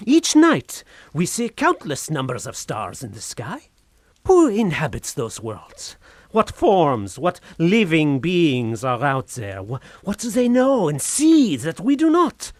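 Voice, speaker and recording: A man's voice: a little high, huge pitch swings, slow, darkish tone, no echo, exaggerated voice. An actor playing a tormented, feverish Frenchman slipping into madness in an audio horror drama, with brooding, formal, theatrical diction. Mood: fervent, rising.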